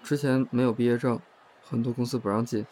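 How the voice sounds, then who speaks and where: An actor playing a reserved, polite young man who speaks softly and hesitantly in an audio drama. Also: medium pitch, steady tone, fairly quick, close to an everyday voice. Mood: subdued, reserved. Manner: hesitant, courteous, restrained. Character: soft-spoken, reserved, polite young man seeking work. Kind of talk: Chinese audio drama acting.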